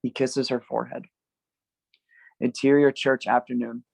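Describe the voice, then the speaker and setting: A man narrates screenplay directions at a table read. His voice is creaky and gravelly at a middle pitch, recorded dry and close. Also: little pitch movement, unhurried, dry recording, rough recording.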